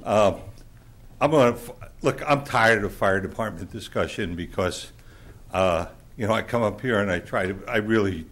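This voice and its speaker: Loud, blunt man giving public comment. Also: fairly low pitch, normal speed. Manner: blunt, exasperated, lecturing. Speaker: public commenter at a city council meeting.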